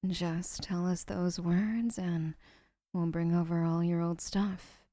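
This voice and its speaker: A woman playing a doting, obsessive young woman who keeps the listener, speaking in a soft, whispery, sweetly possessive way in an audio roleplay. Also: lowish voice, fairly even intonation, takes it slowly, no echo, clean studio sound.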